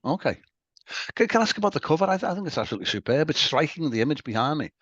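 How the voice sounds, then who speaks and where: A man hosts the interview at a quick clip and keeps nudging the guest along with short replies. His voice sits a little high for a man. The sound is dry and slightly dull, like a lo-fi call. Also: big pitch swings, slightly rough, no room sound. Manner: brisk, with quick short acknowledgements. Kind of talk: casual interview.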